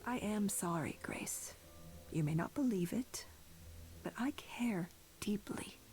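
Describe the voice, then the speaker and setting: A woman playing a stern, weary goddess who leads the gods in a video game, speaking in a measured, formal way. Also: medium pitch, lively intonation, darkish tone, in a whisper, soft background music. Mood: grave, restrained.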